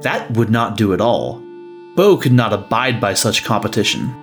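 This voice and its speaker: A man's voice: on the low side, expressive, normal speed, faint music behind. A man narrating a scripted story.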